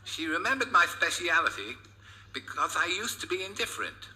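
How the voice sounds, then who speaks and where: A man's voice: a little high, very animated, moderate pace, bright, forceful tone, slight background noise, voice pushed up, full character voice, rough recording. A man voicing a hapless, naive husband in a comic storybook, eagerly over-explaining himself to his wife. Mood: innocent, eager.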